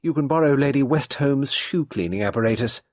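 A man's voice: medium pitch, very animated, normal speed, slightly rough, dry recording, studio quality, pitched above the performer's own voice. A man voicing a stubborn, argumentative young Englishwoman in a dramatised storybook reading, speaking with impatient emphasis. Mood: unkind, brisk.

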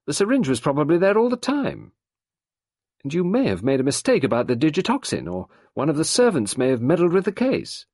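A man's voice: mid-range voice, big pitch swings, moderate pace, husky, no echo, clean studio sound, pitched above the performer's own voice. A man voicing a stubborn, argumentative young Englishwoman in a dramatised storybook reading, speaking with impatient emphasis. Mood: fierce.

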